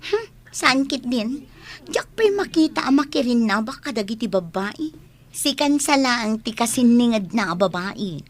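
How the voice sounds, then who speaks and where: A woman's voice: on the high side, animated, measured pace. A woman playing a teasing, dramatic young student in a radio drama, with exaggerated delivery. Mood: teasing, mock-hurt. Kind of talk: Filipino radio drama acting.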